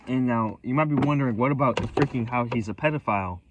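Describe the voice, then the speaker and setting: A man's voice: mid-range voice, fast talker, highs cut off, very noisy. A man telling a rambling story in a vlog, profane and scattered, restarting sentences and commenting on his own spiraling thoughts. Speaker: vlogger.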